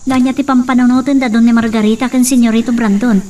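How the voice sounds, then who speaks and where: A woman's voice: fairly high pitch, steady tone, quick pace, dark tone, slight background noise, lightly acted. A woman acting as an earnest, brave daughter in a radio drama, pleading with her father. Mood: urgent, pleading.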